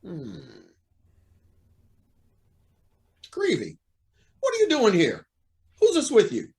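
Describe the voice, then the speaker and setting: A man's voice: a little high, sing-song, unhurried. A man playing a proud, indignant judge who feels cornered, in an old-time radio drama with a formal, blustering manner. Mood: surprised, stern.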